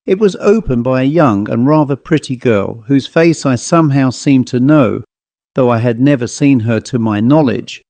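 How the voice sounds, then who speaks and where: A man narrating a literary ghost story as an audiobook, in a measured storytelling manner. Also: mid-range voice, expressive, dark tone, no echo.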